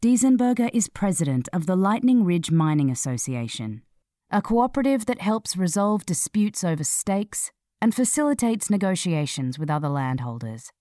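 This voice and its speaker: A woman narrating a scripted feature article in a measured, clearly read delivery. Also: fairly low pitch, very animated, dark tone, dry recording, studio quality.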